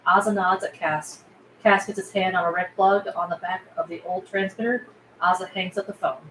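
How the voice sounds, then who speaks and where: A woman's voice: fairly low pitch, steady tone, moderate pace, a bit bright. A woman reading stage directions aloud as scripted narration at a table read. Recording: echoey room, faint background noise.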